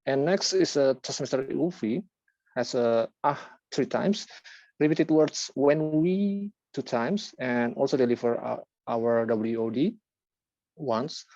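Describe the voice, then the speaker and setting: Slow man over dull call audio. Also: mid-range voice, darkish tone, no echo. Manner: list-like, halting with "uh". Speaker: filler-word counter at a speaking club. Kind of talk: reading out a tally report. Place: online video meeting.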